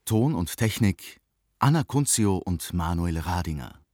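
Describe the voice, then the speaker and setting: A man's voice: low-pitched, animated, a little slow, warm tone, dry recording, studio quality. A man reading scripted radio credits as an announcer.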